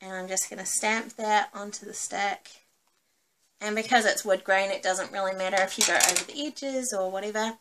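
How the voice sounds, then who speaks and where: A woman's voice: mid-range voice, steady tone, normal speed, fairly bright tone, a little hiss or hum. A woman with a New Zealand accent giving a casual, cheerful craft demonstration in an informal, chatty way. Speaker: craft tutorial host.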